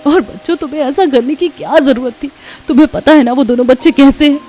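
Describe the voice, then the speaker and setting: A woman's voice: high voice, fast talker, dark tone, voice pushed up, lightly acted. A woman voicing a protective, distressed young village mother in a cartoon, speaking emotionally. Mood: reproachful. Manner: scolding, emotional, pleading.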